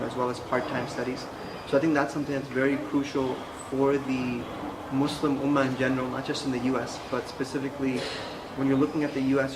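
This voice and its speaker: A young man raised in the United States, answering interview questions in a measured, conversational way with frequent fillers. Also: medium pitch. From Pakistan, raised in the United States. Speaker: interview guest, Quran instructor. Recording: echoey room with clear background noise.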